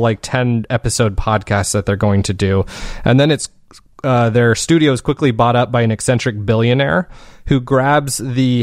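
A man's voice: medium pitch, no echo, studio quality. A man speaking as an interview guest, chatting casually and enthusiastically in rambling explanations. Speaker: guest, fiction podcast maker.

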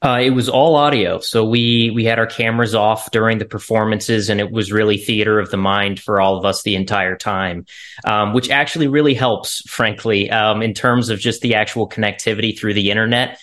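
A man's voice: fairly low pitch, fairly even intonation, normal speed, a bit bright. A man, an actor, explaining his work conversationally as an interview guest.

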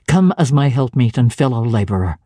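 A woman's voice: extremely low pitch, big pitch swings, brisk, warm tone, breathy edge, no room sound, pitched below the performer's own voice, heavily acted. A woman voicing a stern, zealous young clergyman who speaks with solemn, commanding deliberation. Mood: insistent.

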